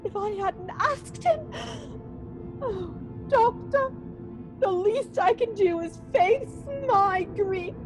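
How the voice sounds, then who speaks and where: A sweet young widow with a high, delicate pitch. She speaks very slowly and coyly, in the manner of old-time radio melodrama. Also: over music. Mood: grieving, distraught. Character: sweet, demure young widow and bride hiding coyness.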